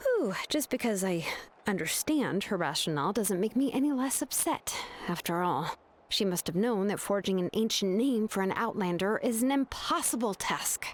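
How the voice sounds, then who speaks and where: Woman acting frank, expressive young craftswoman. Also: mid-range voice, normal speed, natural voice. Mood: exasperated, restrained. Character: young adult female master craftswoman, frank, cool, exasperated. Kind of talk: animated video game voice acting.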